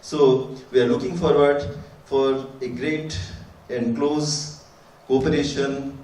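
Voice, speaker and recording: A man gives an earnest, formal speech at a school ceremony. He often restarts his phrases and fills his pauses with "uh". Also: mid-range voice, fairly even intonation, dark tone. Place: school assembly hall. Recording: echoey hall, voice from far off.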